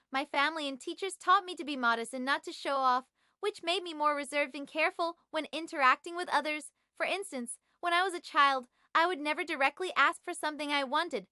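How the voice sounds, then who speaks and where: A woman in her twenties from China, a native Chinese speaker, reading a scripted class presentation in a measured, even way. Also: high-pitched, dry recording, clean studio sound. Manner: measured, evenly read. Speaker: graduate student.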